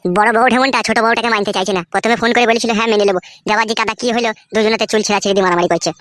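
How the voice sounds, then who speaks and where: A man's voice: sits high, fairly even intonation, racing, a bit bright, no echo. A man voicing a harried, foul-mouthed village husband in a comedy cartoon, grumbling coarsely. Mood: troubled, complaining.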